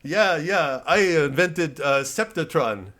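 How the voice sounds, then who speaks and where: A man voicing a brash, swaggering tech billionaire in a comedy routine, boastful and defiant with drawn-out words. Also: fairly high pitch, lively intonation, sharp tone, pitched above the performer's own voice, exaggerated voice. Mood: brash, gloating. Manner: boastful, laughing, drawn-out delivery.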